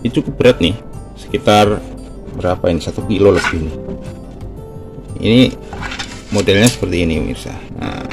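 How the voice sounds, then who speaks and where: A man's voice: sits low, fairly quick, long pauses, over music. A man hosting his own channel, talking casually and loosely while he shows a repair step by step. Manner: relaxed, chatty, with many fillers like "nah" and "nih". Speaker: YouTube channel host. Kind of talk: casual hands-on repair demonstration.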